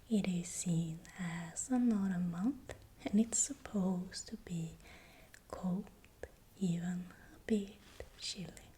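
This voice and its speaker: A woman with a Swedish accent whispering breathily and deliberately in short, soft phrases, as the host of an ASMR video. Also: fairly low pitch, a little hiss or hum. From Sweden. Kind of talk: whispered ASMR, explanatory narration.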